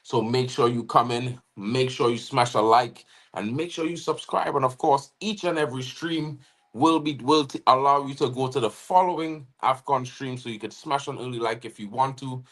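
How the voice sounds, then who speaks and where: A man from Trinidad and Tobago with a Trinidadian accent, co-hosting a live football watchalong in casual chat, giving shout-outs to viewers and often saying "you know what I mean". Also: mid-range voice, normal speed. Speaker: watchalong co-host.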